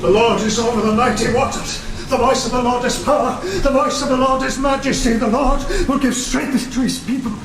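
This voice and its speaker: A man's voice: very high voice, fairly even intonation, a little fast, hardly any pauses, over music. A man playing a defiant, desperate, God-fearing sea captain, intense and declamatory, in a gothic horror audio drama. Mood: fervent prayer. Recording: echoey room, storm noise behind. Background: rain and thunder.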